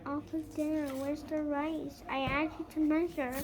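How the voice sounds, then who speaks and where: A woman's voice: high-pitched, little pitch movement, moderate pace, a little hiss or hum, voice pushed up, close to an everyday voice. A young girl voicing an exasperated, scolding lady of the house in a storybook. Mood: questioning. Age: young child. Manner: scolding, exclamatory.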